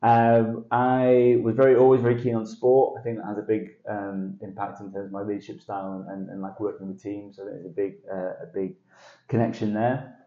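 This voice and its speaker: A man's voice: fairly low pitch, little pitch movement, brisk. A man, a podcast guest talking casually and self-deprecatingly about his path. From northern England. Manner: chatty, self-deprecating, with frequent restarts and light laughs. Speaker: guest, sales director. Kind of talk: casual interview conversation. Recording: dull lo-fi sound in an echoey room.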